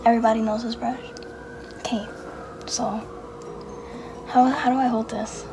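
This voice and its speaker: A woman's voice: a little high, flat delivery, normal speed, noisy background. A young woman doing her sister's makeup, joking and muttering to herself as she works. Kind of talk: casual chat during makeup challenge.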